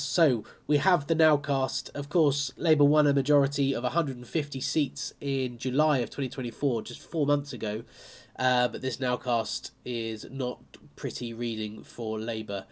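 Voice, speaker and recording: A congested man with a cold, chatting casually as he goes through an election map, with plenty of fillers. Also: medium pitch. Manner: chatty and conversational, with frequent fillers. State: bunged up with a cold. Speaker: political commentator. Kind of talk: casual analysis talking over a map.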